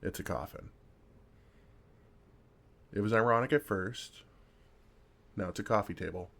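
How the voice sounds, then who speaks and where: A low-voiced, gravelly older vampire played by a man in an intimate ASMR roleplay. He is gentle and wry, talking with unhurried pauses and a dry, self-deprecating humor. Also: heavily acted. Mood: wry, protective. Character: kind, wry older male vampire comforting a fledgling.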